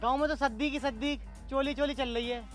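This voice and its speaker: An actor playing a wily, flattering village election fixer who hustles for money in a comedy sketch. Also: male voice, very high voice, faint music behind. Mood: scheming, persuasive. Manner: flattering, fast-talking hustler. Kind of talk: rustic comedy sketch acting.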